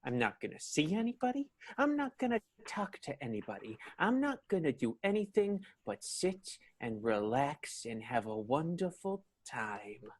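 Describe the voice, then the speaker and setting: An actor playing a frazzled theater manager with a husky voice in an improvised radio soap opera. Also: male voice, fairly high pitch, big pitch swings, moderate pace, few pauses. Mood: strained relief.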